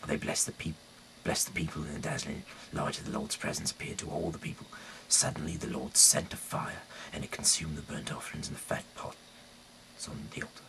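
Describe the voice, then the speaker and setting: A man with an extremely low, gravelly voice reads scripture aloud over some background noise. His delivery is halting, and he trips over words and doubles back on phrases as he narrates. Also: flat delivery, bright, forceful tone, poor audio. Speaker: host reading scripture aloud. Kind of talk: read-aloud Bible narration with casual slips.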